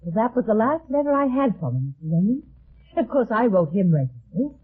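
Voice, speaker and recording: An actress playing a worried, earnest farm woman in a 1950s radio detective drama, speaking haltingly on a muffled old recording. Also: medium pitch, very animated, breathy edge. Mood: distressed. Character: anxious farm woman seeking her missing brother.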